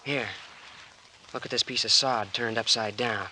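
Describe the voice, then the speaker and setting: A man's voice: medium pitch, fairly even intonation, unhurried, bright, forceful tone. An actor playing an earnest, stubborn young man with a protective streak, in old-time radio drama style. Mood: insistent. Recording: noisy vintage radio recording.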